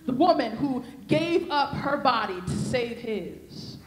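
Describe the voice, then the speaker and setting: A woman's voice: high-pitched, animated, slow pace. A young woman preaching a sermon on a convention stage into a handheld mic, with a rhythmic, repetitive cadence. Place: convention hall stage with podium. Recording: echoey hall.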